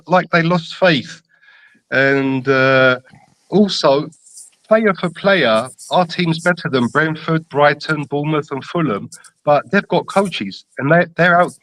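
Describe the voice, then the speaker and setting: A man with a North London accent tinged with Greek Cypriot and slight Australian, giving emphatic, deliberate opinions as a caller in casual football chat. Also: on the high side, a bit bright, dry recording, rough recording. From London, England. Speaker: caller to a football fan show.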